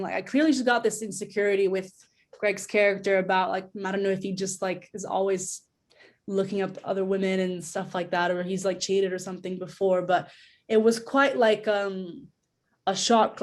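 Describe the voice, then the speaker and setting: A woman's voice: mid-range voice, darkish tone. A young adult woman giving casual feedback on a video call, tentative and informal, often saying "like". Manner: informal, tentative, heavy use of "like". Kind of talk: casual chat. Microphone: video call microphone.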